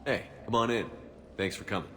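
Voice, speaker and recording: Very low-voiced easygoing soldier hero played by a man. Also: fairly quick, a bit bright, in a large hall, slight background noise, close to an everyday voice. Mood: relaxed, dry. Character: laid-back, commanding male space soldier hero. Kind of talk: scripted game dialogue. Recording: music under the voice.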